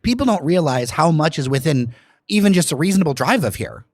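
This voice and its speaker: A man's voice: a little high, sing-song, a little fast, no room sound, studio quality. A man hosting a podcast, chatty and full of fillers in a casual interview. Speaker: podcast host.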